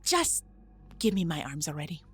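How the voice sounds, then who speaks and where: A woman's voice: sits low, very animated, moderate pace, fairly bright tone, husky, full character voice. A woman playing an irritable, proud robot girl engineer, snippy and sarcastic, in a sci-fi audio drama. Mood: grumpy.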